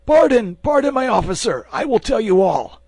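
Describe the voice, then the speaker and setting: A man's voice: normal speed, fairly bright tone, breathy edge, no echo. A man voicing a wounded, terrified soldier who begs for his life. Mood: desperate. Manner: pleading, high and strained.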